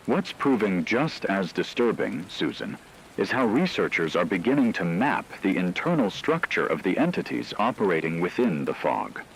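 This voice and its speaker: A man playing a grave radio news anchor, delivering a scripted report in a solemn, formal, measured way. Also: fairly low pitch, big pitch swings, vocal fry. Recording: noisy, rain-like background ambience.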